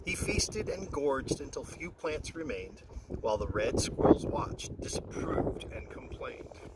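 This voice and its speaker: A man reads a rhyming children's story in a singsong, expressive voice with a very animated pitch. He sounds set back from the microphone, and loud wind-like noise covers the recording. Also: unhurried. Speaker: storybook reader. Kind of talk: read-aloud of a rhyming children's picture story. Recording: very noisy, windy, distant-mic recording.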